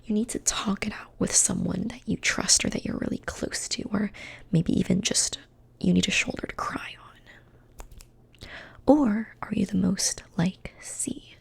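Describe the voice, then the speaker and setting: A young woman whispering softly in an ASMR personality-quiz roleplay, slow and soothing, often repeating phrases. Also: medium pitch, steady tone. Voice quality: breathy. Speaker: ASMR host.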